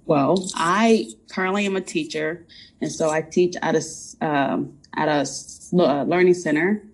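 A young woman from Ireland, a teacher, talking as a guest in a friendly and relaxed way, sometimes restarting her words. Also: sits low, measured pace, poor audio. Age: young adult. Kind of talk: casual conversation.

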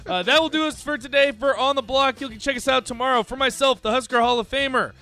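A man in his twenties hosting a sports radio show, upbeat and chatty, with big swings in loudness. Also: extremely high pitch, fast talker, faint music behind. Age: 20s.

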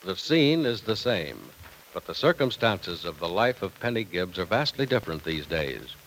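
Lively, emphatic old-time American radio announcer. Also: male voice, sits low, moderate pace, a little hiss or hum, low-quality recording. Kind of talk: 1950s radio sponsor pitch and scripted narration.

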